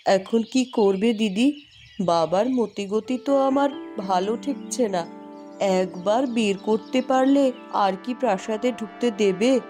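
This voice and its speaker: A woman's voice: a little high, slow, dark tone, soft background music. A woman voicing an outspoken, naive youngest princess in a fairy-tale cartoon, plaintive and a little haughty. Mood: anxious.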